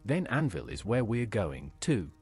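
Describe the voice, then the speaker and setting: A synthetic, AI-generated man's voice speaking as the player character in a video game, giving plain, even questions and replies. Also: fairly low pitch, measured pace, husky, soft background music, heavily acted.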